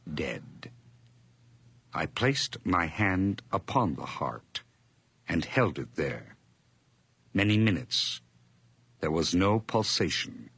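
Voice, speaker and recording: A nervous, deranged murderer confesses in an old radio horror drama. His voice is deep and very husky, theatrically intense, slow and brooding, with wide swings between whispers and outbursts. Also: exaggerated voice. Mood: cold, brooding.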